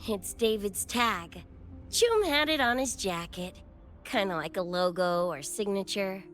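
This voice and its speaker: A woman's voice: mid-range voice, expressive, a little slow, a bit bright, soft background music. A woman playing a brash, scrappy young cyberpunk gang member in a game, with cocky, slangy talk and a wistful side. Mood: explaining, offhand. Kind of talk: animated game character dialogue.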